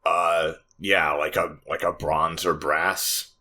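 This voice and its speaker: A man with a deep but bright, forward voice talks casually as a player in a tabletop roleplaying game. He is tentative, restarting phrases as he works out what his character would do. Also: flat delivery. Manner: tentative, halting, repeats words while thinking aloud. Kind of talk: casual improvised game talk.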